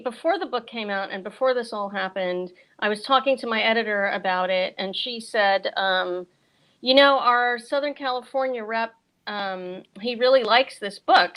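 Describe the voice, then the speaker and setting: A woman's voice: mid-range voice, normal speed, a bit bright. Chatty middle-aged American woman. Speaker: bookseller, author and guest. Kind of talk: casual chat on a virtual event.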